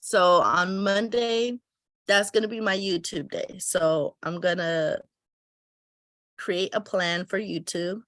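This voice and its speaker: A woman's voice: lowish voice, takes it slowly, no echo. A woman who runs a travel business gives a casual step-by-step tutorial on weekly planning, thinking aloud as she fills in her schedule, her voice a bit stuffy from a cold. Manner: thinks aloud while filling in a planner, relaxed and chatty. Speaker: travel agency owner teaching planning.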